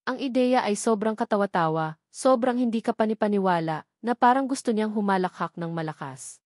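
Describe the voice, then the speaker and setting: A woman's voice: medium pitch, lively intonation, a little fast, darkish tone, dry recording, clean studio sound. A woman reading a dramatic scripted story as an audiobook narrator.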